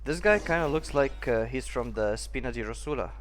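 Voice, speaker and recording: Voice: medium pitch, lively intonation, fairly quick, soft background music. A streamer giving casual playthrough commentary in amused, muttered asides.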